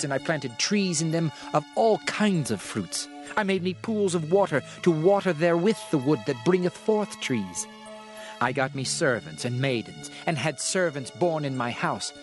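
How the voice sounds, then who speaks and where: An actor playing a world-weary, reflective king called the Preacher in a dramatized scripture reading, solemn, measured and declamatory. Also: on the high side.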